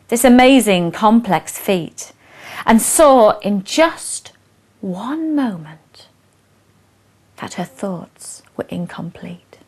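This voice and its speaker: A woman reciting verse expressively, with measured, dramatic phrasing and hushed line endings. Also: mid-range voice. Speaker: poet and presenter reading verse.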